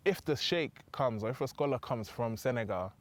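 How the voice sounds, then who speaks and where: A young man from England with a British accent, talking as a guest in a relaxed, conversational interview, often adding "do you know what I mean". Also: medium pitch, moderate pace. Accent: British English. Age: young adult.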